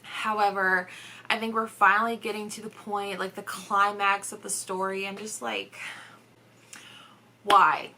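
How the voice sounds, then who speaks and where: A woman's voice: mid-range voice, steady tone, measured pace, sharp tone, a little hiss or hum, poor audio. A young adult woman chatting informally in a casual reading vlog, recorded indoors at home. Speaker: book vlogger.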